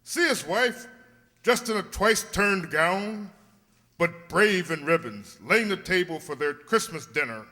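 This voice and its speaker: Male actor as solemn spirit guide, theatrical, highish animated voice, radio drama, long hall reverb. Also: a little slow, heavily acted. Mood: solemn, presenting. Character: solemn ghostly spirit guide, male, showing visions, stern.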